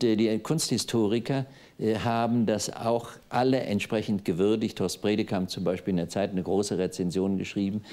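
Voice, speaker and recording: Low, mellow-voiced man in his sixties. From East Germany. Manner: thoughtful, digressive, with frequent hesitations. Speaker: host of a literary discussion. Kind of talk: televised panel discussion. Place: TV studio with a live audience.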